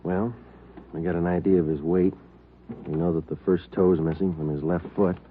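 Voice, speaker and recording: An actor playing a clipped, matter-of-fact American police detective in an old radio drama, speaking tersely and deadpan. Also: male voice, low-pitched, normal speed, slight background noise, natural voice. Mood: terse, businesslike. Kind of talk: 1940s-50s radio police drama acting. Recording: muffled old-time radio, loud music.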